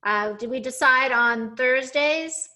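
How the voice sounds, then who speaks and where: A woman's voice: high-pitched, slow pace, pushed and bright. A woman hosting a webinar, introducing her guest in an upbeat, effusive way over a video call. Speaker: webinar host.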